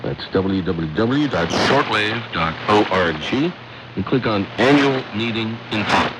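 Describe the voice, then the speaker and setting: A man presenting a radio programme in a scripted announcing style, deliberately repeating and spelling out details. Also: fairly low pitch, expressive. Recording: shortwave radio broadcast with loud noise.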